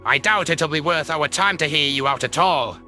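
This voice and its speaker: A man's voice: fairly high pitch, normal speed, sharp tone, soft background music. A man voicing a stern, contemptuous avian alien security guard in a sci-fi audio drama, bossy and disdainful. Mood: scornful.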